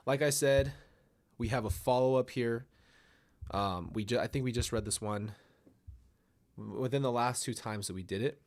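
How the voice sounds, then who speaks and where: Stuffy-nosed man hosting a livestream. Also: fairly low pitch. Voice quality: nasal. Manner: rambling, apologetic, restarts often. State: stuffy nose, a little nasal. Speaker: livestream host reading viewer stories. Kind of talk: casual livestream chat and story reading.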